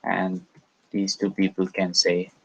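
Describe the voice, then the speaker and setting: A man teaching a language lesson, explaining step by step in a hesitant, halting way with many pauses. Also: deep voice, monotone, creaky, fairly bright tone, husky. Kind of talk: slow explanatory lecture. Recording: dull lo-fi recording.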